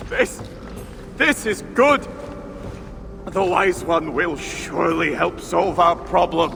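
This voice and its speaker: A jovial, tipsy drunkard from a fantasy video game, slurring and exclaiming. The voice is high and creaky, drawn out, in a long reverb with music behind. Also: male voice, heavily acted. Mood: tipsy delight. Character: drunken, jovial old-world male drinker, effusive and slurring. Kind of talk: video game cutscene acting.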